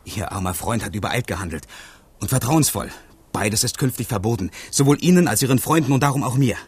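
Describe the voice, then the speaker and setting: A man's voice: medium pitch, lively intonation, fast, darkish tone, slightly rough. An actor playing an earnest, courtly nobleman who is a protective secret friend, in a radio play. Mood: earnest warning. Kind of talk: 1970s radio play acting.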